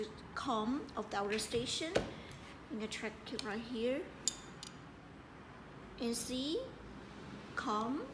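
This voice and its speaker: A woman giving a hands-on installation tutorial as a product presenter, speaking in halting, piecemeal phrases with repetition. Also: fairly high pitch. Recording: echoey room with clear background noise.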